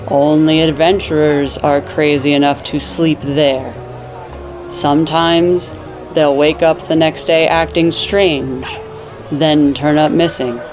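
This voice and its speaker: A woman playing an older half-elf nun, giving measured, earnest warnings in a fantasy audio drama. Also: low-pitched. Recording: music behind the voice.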